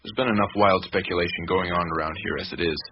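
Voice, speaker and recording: A man's voice: low-pitched, steady tone, fast talker. An actor playing a guarded, matter-of-fact male witness in a radio drama, talking in an emphatic, down-to-earth way. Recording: muffled radio recording with clicks and hiss.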